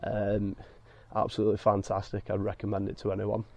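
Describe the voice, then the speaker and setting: A man with a croaky, hoarse voice from being ill, hosting a car detailing vlog and explaining each step in a chatty way with many fillers. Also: on the low side, monotone, fairly quick, dark tone, no echo. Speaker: car detailing channel host. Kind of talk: casual talk to camera with fillers. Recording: dull lo-fi sound.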